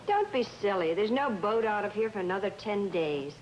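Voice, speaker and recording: An actress playing a self-assured, scheming wealthy wife in an old-time melodrama, with a theatrical delivery. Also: female voice, medium pitch, animated, moderate pace, dull audio, a little hiss or hum, close to an everyday voice. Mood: evasive. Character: scheming, self-assured wealthy married woman planning to elope. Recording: roomy old recording, loud music behind.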